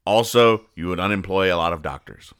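Male podcast host, casual monologue, bright forceful tone on the lower side, dry sarcastic deadpan. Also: fairly quick, clean tone. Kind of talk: casual comic-strip recap monologue.

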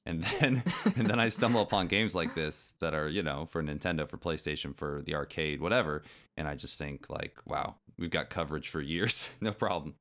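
A man's voice: very deep voice, normal speed, fairly bright tone. A man from the United States co-hosting a podcast in casual chat, curious and asking questions. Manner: curious, asks questions, chatty. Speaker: podcast co-host.

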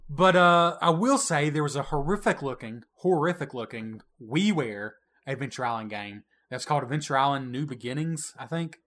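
A man's voice: mid-range voice, normal speed, hardly any pauses, fairly bright tone. A man co-hosting a casual gaming podcast, running a trivia quiz in a playful, teasing way and laughing often. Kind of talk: casual retro gaming chat.

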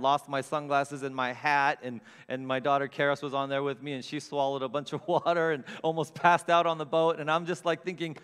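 Guy preaching, kinda high voice, gets loud telling stories on himself, big echoey church. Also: clear, resonant tone. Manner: animated, self-mocking storyteller with big swings in loudness. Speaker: pastor. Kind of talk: storytelling sermon. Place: church sanctuary with long reverb.

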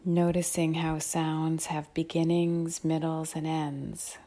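A woman leading a guided mindfulness meditation in a soft, calm, measured manner. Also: low voice. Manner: soft, calm, measured instruction with long phrases and pauses.

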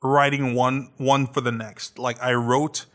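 A man's voice: medium pitch, level tone, a bit bright. A man co-hosting a podcast and producing audio dramas, talking casually and stopping often to restart his sentences. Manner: halting, with many restarts and fillers.

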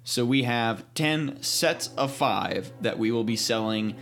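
A young man co-hosting a casual podcast, his voice in a middle pitch. He talks plainly and steadily, working through announcements with hesitant fillers. Nothing about his delivery is showy. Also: slow, fairly bright tone, soft background music. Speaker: podcast co-host.

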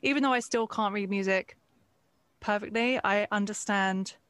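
A woman's voice: mid-range voice, moderate pace, fairly bright tone, clear, resonant tone, no room sound. Woman host, candid and hesitant, dry recording. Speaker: interviewer, host. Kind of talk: conversational interview.

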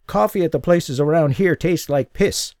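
A man voicing a frail old homeless man with a croaky, effortful voice, in an audiobook. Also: on the high side, a little mellow, no echo, studio quality, pitched above the performer's own voice, close to an everyday voice. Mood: wry. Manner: slow, effortful speech.

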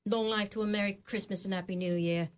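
Woman voicing a brisk indignant mother, mid pitch, radio play. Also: steady tone, clean tone, lightly acted. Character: spirited mother of a poor family. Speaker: actress. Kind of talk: radio drama acting.

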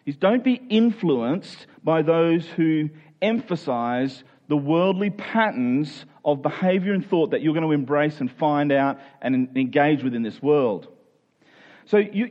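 Higher-voiced male preacher, slightly dull recording. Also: a little mellow. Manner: explanatory, repeats key phrases, checks in with listeners. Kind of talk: expository Bible teaching sermon, conversational.

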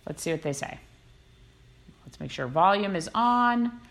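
A woman co-hosting a podcast in casual chat, playful and animated, often reading aloud. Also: mid-range voice, a little slow. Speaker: podcast co-host.

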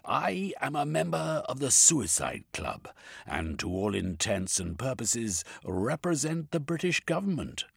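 Man doing an indignant proper gentleman. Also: medium pitch, sing-song, a little slow, gravelly, husky, dry recording, studio quality, voice pushed up, full character voice. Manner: indignant, formal. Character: proper male British gentleman, gallant husband.

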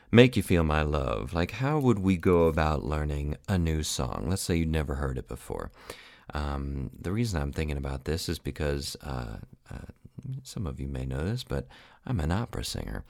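A man who is an opera singer and voice teacher, giving a casual podcast lesson, relaxed and rambling with many fillers. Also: extremely low pitch, vocal fry, no room sound, clean studio sound.

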